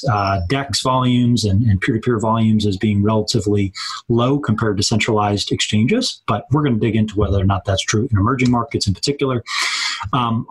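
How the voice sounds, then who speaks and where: A man hosting an interview podcast, reading intros and putting questions in a halting way as he searches for words. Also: fairly low pitch, breathy edge, low-quality recording. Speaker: podcast host.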